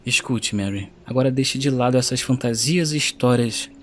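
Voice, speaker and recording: A man's voice: medium pitch, normal speed, fairly bright tone, a little hiss or hum, lightly acted. An actor playing a strict father in an audio drama, who speaks firmly and sternly. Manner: firm, stern.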